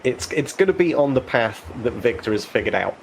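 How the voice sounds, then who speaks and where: A man as game master, explaining rules and narrating conversationally, pausing to think aloud. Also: mid-range voice, lively intonation, creaky, slight background noise, low-quality recording.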